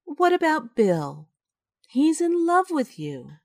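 An actress playing a guilty, scheming well-bred wife who confides in a measured, wry way in a radio drama. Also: female voice, high-pitched, wildly swooping pitch, creaky, no echo, studio quality, full character voice. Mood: uneasy, soothing. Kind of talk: radio drama acting with confessional narration.

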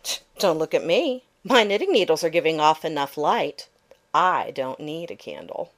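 A woman acts a smug young stepsister from a fairy tale. Her voice is fairly low but swoops expressively as she turns bossy and mock-innocent in audio drama style. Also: sing-song, normal speed.

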